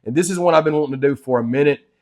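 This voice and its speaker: A man's voice: on the high side, very fast, a little mellow. A man hosting his interview show, talking in a chatty, enthusiastic way and tossing in "man" a lot.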